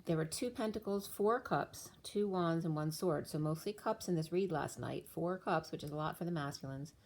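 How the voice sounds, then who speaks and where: Woman doing a tarot read, kinda low voice, losing it, rambles on and on. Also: normal speed, darkish tone. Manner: rambling, stream-of-thought explanations. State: losing her voice. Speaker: tarot reader. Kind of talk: casual spiritual reading talk.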